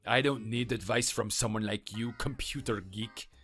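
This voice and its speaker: A man voicing a scornful, boastful French mechanic who taunts and belittles in a lower, quieter voice, with faint music behind. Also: normal speed, slightly rough. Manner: taunting, contemptuous.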